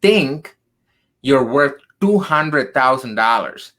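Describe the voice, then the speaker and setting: Man, from southern India, 30s, bright tone, earnest, emphatic, career coach guest. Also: mid-range voice, lively intonation, slow pace. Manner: earnest, emphatic, frequent "right?" tags. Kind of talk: radio interview storytelling.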